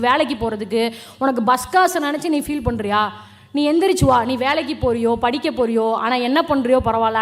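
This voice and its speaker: A young woman with a Tamil Nadu accent giving a fiery, colloquial political stage speech, challenging and combative, throwing out rhetorical questions. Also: sits high. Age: young adult. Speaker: political speaker.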